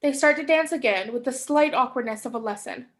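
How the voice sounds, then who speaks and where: A woman's voice: sits high, expressive, brisk. A young adult woman reading stage directions as scripted narration for a play.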